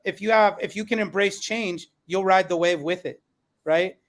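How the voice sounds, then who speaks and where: A man with a high voice moderates a podcast panel. He speaks earnestly and conversationally, passing questions to the guests. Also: normal speed.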